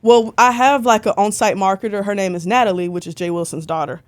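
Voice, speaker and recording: A woman's voice: mid-range voice, a little fast. A young woman in casual podcast conversation, telling stories with lots of "like" and playful bursts of energy. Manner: chatty, animated storytelling with lots of "like". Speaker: podcast guest, concert photographer. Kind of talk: casual interview chat.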